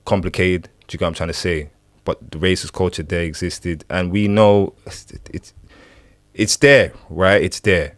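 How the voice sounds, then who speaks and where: A man's voice: deep voice, steady tone, moderate pace, no room sound, clean studio sound. A man from Uganda co-hosting a discussion, emphatic and often checking in with "right?". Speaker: podcast co-host.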